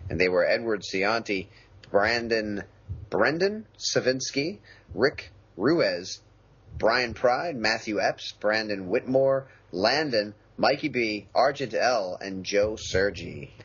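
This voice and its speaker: A man's voice: on the low side, expressive, takes it slowly, fairly bright tone, husky. A man co-hosting a podcast with dry, self-mocking humor. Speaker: podcast co-host. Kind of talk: casual chat. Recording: slightly muffled podcast audio.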